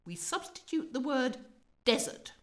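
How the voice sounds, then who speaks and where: A woman's voice: high voice, sing-song, unhurried. An imperious opera director played by a woman in a radio drama. She is pompous and exacting, with precise formal phrasing that rises and falls dramatically. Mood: stern, commanding. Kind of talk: radio play acting.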